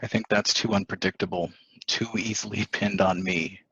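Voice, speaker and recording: A man's voice: low voice, fairly even intonation, moderate pace, fairly bright tone. A man playing a coldly calculating newlywed husband in a table reading of a stage play, in dull audio.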